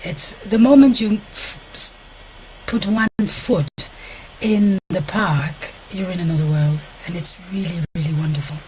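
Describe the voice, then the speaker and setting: Woman, Belgian French accent, lowish voice, gentle, restarts her sentences, faint outdoor noise. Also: unhurried, rough recording. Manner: searches for words, frequent restarts, soft gentle delivery. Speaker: relationship coach hosting a live stream. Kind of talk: live-stream talk to viewers. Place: outdoors in a park.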